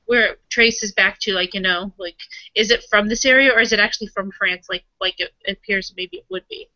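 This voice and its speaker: Lady player in a casual rpg session, bright sharp voice, dry kinda lo-fi sound. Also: mid-range voice, brisk, no room sound. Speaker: tabletop roleplaying player. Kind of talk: casual game-table chat.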